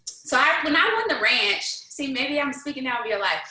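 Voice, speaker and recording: A woman's voice: high voice, very animated, fairly quick, sharp tone, reverberant, rough recording. A woman in her thirties telling stories as a guest, bold and emphatic, laughing often. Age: 30s. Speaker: guest, business leader. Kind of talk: casual storytelling, motivational.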